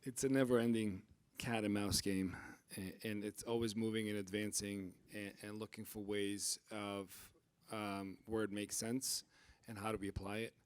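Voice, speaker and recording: Low-voiced man moderating a panel. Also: flat delivery, normal speed, no echo. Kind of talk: panel discussion.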